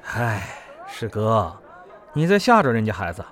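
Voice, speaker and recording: A man acts a genial middle-aged troupe elder. His teasing, kindly lines move widely in pitch, as in a radio play. There is noticeable ambient noise behind him. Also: very animated, a little slow, a bit bright, a faint crowd. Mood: mild reproach. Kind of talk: Chinese audio drama acting.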